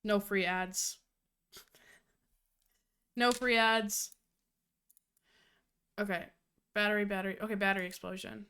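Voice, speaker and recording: A woman's voice: medium pitch, fairly even intonation, measured pace, pauses often, sharp tone, clear, resonant tone. A young adult woman streaming, chatty and profane, who sounds nasal and congested from a cold. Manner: chatty, profane, laughs often. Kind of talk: casual live-stream chat.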